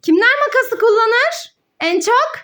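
A woman's voice: very high voice, sing-song, unhurried, fairly bright tone. A mother hosting a children's learning game, speaking in a sing-song, exclaiming way as she asks questions and answers them. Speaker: mother hosting a children's educational play video. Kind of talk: child-directed play narration with questions and answers.

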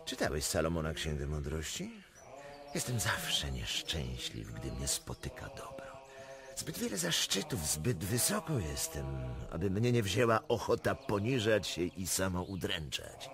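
An actor playing a volatile, mocking Russian prince in a radio drama, theatrical, grandiose and fatalistic. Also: male voice, sits low, huge pitch swings, a little slow, over music, exaggerated voice. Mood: mocking, brooding. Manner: theatrical, swinging from boasts to grave confessions.

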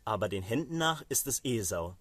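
A man's voice: on the low side, animated, a little fast, a bit bright, breathy edge, dry recording. Man reading as a solemn old patriarch father. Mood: wary.

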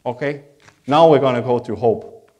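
A man's voice: mid-range voice, normal speed, a little mellow. A man with a German accent, a native German speaker, preaching a sermon as a pastor in a reflective, conversational way, repeating phrases for emphasis.

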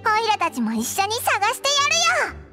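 A woman's voice: very high voice, sing-song, a little fast. A woman voicing a small, brash, inquisitive fairy companion in an anime-style game, exclamatory and boyish in wording. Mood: confident. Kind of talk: Japanese anime-style game dub acting. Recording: music behind.

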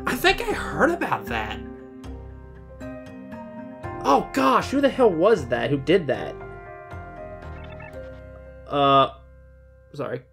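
A man's voice: fairly high pitch, huge pitch swings, takes it slowly, vocal fry, pauses often, music in the background. A man in his twenties giving casual, rambling, informal off-the-cuff commentary as a reaction video host. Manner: rambling, informal, mild swearing. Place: bedroom, indoors.

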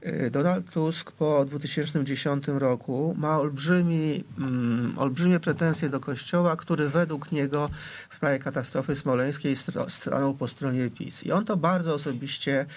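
A man's voice: on the high side, normal speed. A man speaking as a studio guest in a political discussion show, hesitant and full of fillers as he argues his point.

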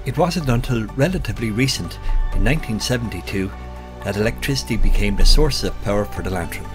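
A man's voice: lowish voice, animated, fast, over music. A man giving an informal, explanatory guide to locations as the host of a photography vlog.

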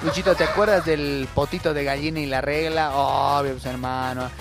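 A man's voice: on the high side, steady tone, moderate pace, music in the background. A young man with a Bolivian accent, hosting a radio show with casual, joking, informal comic talk. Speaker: radio host and comedian. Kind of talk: casual comic radio monologue, reading listener messages.

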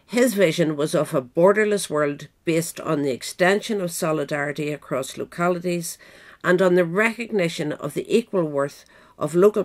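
A woman reading a scripted history essay aloud in a measured, formal manner. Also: low-pitched. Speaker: audiobook reader.

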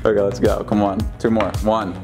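A man's voice: lowish voice, flat delivery, fairly quick, over music. An American man in his twenties talking casually as a vlog host, with a teasing, pushy, encouraging manner. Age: 20s. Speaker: vlog host, brother coaching his sister. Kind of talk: casual vlog chat and coaching.

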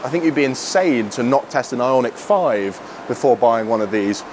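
A man's voice: mid-range voice, moderate pace, noisy background. Chatty young British man reviewing a car. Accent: British English. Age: young adult. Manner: chatty, wry, opinionated. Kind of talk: casual presenting to camera. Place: inside a car while driving, later outdoors at a charger.

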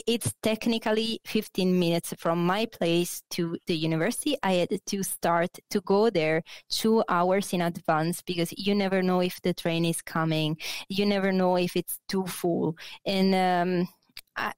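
A slow, unhurried Italian woman in her thirties, speaking over a headset on a video call. Her voice is lowish and smooth, and the sound is close and dry. She pauses often and restarts her sentences, with plenty of fillers. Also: dry recording. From Italy, Naples. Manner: hesitant, many fillers and restarts. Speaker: guest, architect. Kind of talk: casual interview. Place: bright office.

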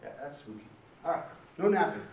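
A man's voice: a little high, sing-song, brisk, highs cut off. Middle-aged guy lecturing on poetry, keeps saying right?, roomy hall, mic far off, bit of hiss. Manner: conversational, keeps asking "right?". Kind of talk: university lecture with a poem reading.

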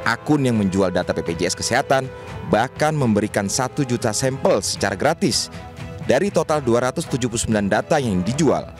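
A man's voice: mid-range voice, expressive, fairly quick. A man reading a scripted TV news report as voice-over. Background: news background music.

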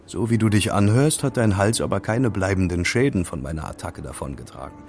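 An actor playing a remorseful, self-pitying young hacker in an audio drama, sheepish and expressive. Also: male voice, lowish voice, brisk. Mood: earnest.

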